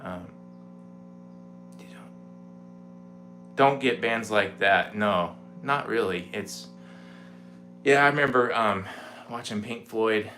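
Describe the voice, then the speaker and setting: A man in his forties chatting casually on a home livestream, rambling with lots of fillers, with a mellow voice. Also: lowish voice, slow pace, pauses often. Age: 40s. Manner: rambling, relaxed, many fillers. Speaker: livestreaming guitarist. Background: guitar playing.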